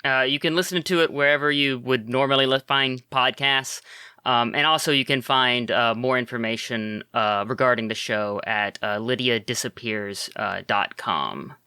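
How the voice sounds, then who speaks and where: Bright, hesitant male podcast guest. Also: medium pitch, normal speed, smooth, no room sound. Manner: hesitant, many uhs and ums, laughs easily. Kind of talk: casual interview chat. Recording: dry close podcast mic.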